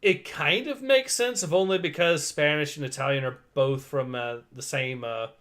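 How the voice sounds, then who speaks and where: A man's voice: fairly high pitch, normal speed, a bit bright. A man hosting a casual livestream, rambling through viewer comments with frequent laughs. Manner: rambling, laughs often, many fillers. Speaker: livestream host.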